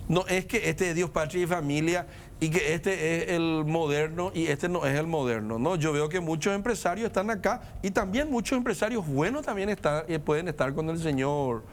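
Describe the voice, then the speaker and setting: Non-native man, guest pundit, slightly higher voice, measured and lecturing, faint hiss behind. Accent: non-native Spanish. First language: not Spanish. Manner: measured, lecturing, emphatic lists. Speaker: guest commentator. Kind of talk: political discussion.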